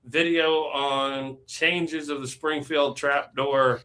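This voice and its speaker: A man with a bright, forceful voice sitting a little high in pitch chats as a guest on a live stream. He talks easily and loosely, leaning on fillers and quick laughs. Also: fairly even intonation, slight background noise. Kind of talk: casual live-stream chat.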